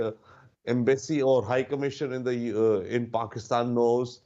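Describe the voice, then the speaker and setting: A man with an Indian accent giving analytical panel commentary in a deliberate, emphatic way over lo-fi remote audio. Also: medium pitch, darkish tone.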